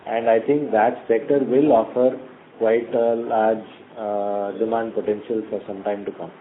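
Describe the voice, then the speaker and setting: A middle-aged executive answers questions slowly and carefully, filling pauses with many ums. His voice comes through a narrow, tinny phone line. A faint hiss sits underneath. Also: on the low side, flat delivery. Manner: measured, hesitant with many fillers. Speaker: company executive. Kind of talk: earnings call answers.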